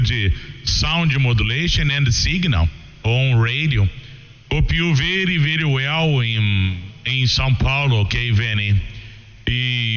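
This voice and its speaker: A man's voice: mid-range voice, fairly bright tone. A Brazilian man, a native Portuguese speaker, making a ham radio contact in broken English with short phrases over a radio transmission. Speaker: amateur radio operator. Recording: muffled radio audio with long reverb.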